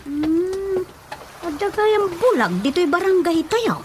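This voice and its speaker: A woman's voice: extremely high pitch, very animated, slow, background noise, full character voice. An actress with an Ilocano accent playing a curious, chatty neighbour woman in a comic radio drama. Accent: Filipino, Ilocano. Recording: radio drama with music underneath.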